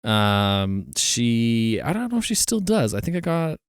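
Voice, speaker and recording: A man's voice: mid-range voice, very animated, unhurried, clean tone, dry recording, clean studio sound. A man co-hosting a casual podcast, reading out listener questions and laughing often. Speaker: podcast co-host. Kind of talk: casual chat.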